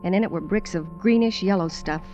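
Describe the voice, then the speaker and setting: A woman's voice: fairly low pitch, brisk, dark tone, faint music behind, close to an everyday voice. An actress in a 1950s radio drama, playing and narrating as a wry, streetwise, colloquial showgirl castaway. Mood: reflective, narrating. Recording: dull vintage radio recording.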